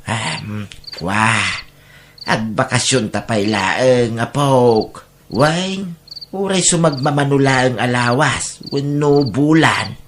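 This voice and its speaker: A man's voice: medium pitch, slow, background noise, natural voice. A man acting as a patient old grandfather with an Ilocano accent, counselling a child soothingly in an audio drama. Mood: tender, hesitant.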